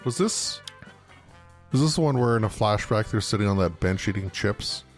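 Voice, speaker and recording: A man's voice: on the low side, lively intonation, normal speed, faint music behind. A man co-hosting a podcast in casual conversation. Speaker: podcast co-host. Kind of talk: casual chat.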